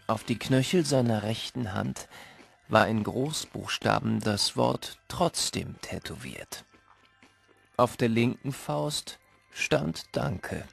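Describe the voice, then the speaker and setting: A man's voice: mid-range voice, lively intonation, slow pace, gravelly, full character voice. A man narrating a fanciful Christmas tale in the first person, as scripted audio drama storytelling. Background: loud music.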